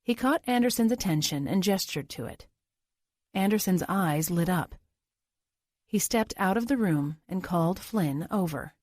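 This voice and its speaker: A woman's voice: lowish voice, lively intonation, a little slow, a little mellow, no room sound, clean studio sound. A woman reading scripted thriller audiobook narration.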